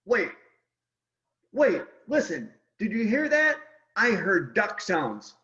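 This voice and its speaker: Man playing an excitable, high-pitched storybook bickerer. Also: slow pace, exaggerated voice. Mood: eager. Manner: emphatic, exclamatory. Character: excitable picture-book bickerer insisting it's a duck. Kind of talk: animated children's read-aloud. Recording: dull, lo-fi video call audio.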